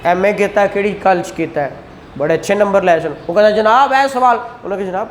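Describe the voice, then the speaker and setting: A man giving a classroom law lecture, explaining in a conversational tone, reading legal text slowly, and acting out courtroom questions. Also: high-pitched, a little hiss or hum, low-quality recording. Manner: explains in Urdu, reads English statute text slowly aloud; acts out courtroom exchanges.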